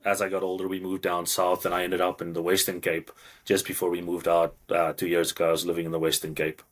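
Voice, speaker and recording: A man from South Africa with a South African accent, a podcast guest telling hunting stories in a relaxed, plain way. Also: low voice. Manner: relaxed, plain storytelling with small pauses. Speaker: guest hunter. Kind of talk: casual storytelling.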